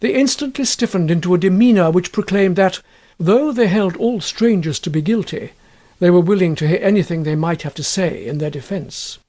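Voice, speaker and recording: A man's voice: high voice, moderate pace, mellow. A man reading a comic literary short story as scripted narration. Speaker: audiobook reader.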